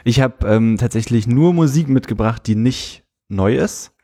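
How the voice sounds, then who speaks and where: A man, co-hosting a casual podcast and talking about music in a relaxed, hesitant way with many fillers. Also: medium pitch, measured pace. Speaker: podcast co-host.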